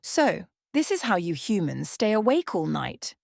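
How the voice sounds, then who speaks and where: A woman's voice: mid-range voice, sing-song, a little slow, vocal fry, no room sound, studio quality, exaggerated voice. A woman voicing an ancient female vampire in a bedtime story, sly, playful and teasing. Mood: playful, curious. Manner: teasing, mischievous.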